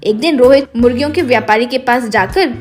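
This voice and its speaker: A woman's voice: a little high, fairly quick, soft background music. A woman narrator reading a scripted children's story.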